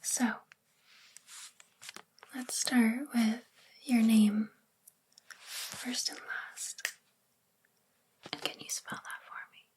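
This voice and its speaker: A sweet, friendly witch librarian from a magical town, played by a woman in an ASMR roleplay. Her voice is soft and breathy, close to a whisper, fairly high and very even. She takes her time, speaking gently and slowly. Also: heavily acted. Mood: gentle, chatty. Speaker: ASMR performer.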